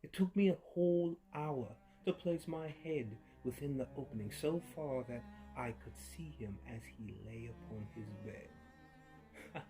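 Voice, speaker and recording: A man giving a dramatic horror story reading, swinging between hushed murmurs and sudden loud outbursts, with an unhinged edge and creepy laughs. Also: medium pitch. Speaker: narrator. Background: faint violin music.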